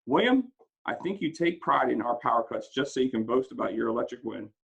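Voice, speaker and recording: A man's voice: mid-range voice, expressive, brisk, creaky, a bit bright, husky, muffled recording, exaggerated voice. A man voicing a teasing, grumbling village barber in a story read aloud, chiding someone.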